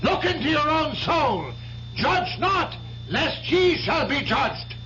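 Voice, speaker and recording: Very high-voiced old priest, pleading. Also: male voice, very animated, slow pace, pushed and bright, slight background noise, exaggerated voice. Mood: urgent, pleading. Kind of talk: radio drama acting. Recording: dull old radio broadcast.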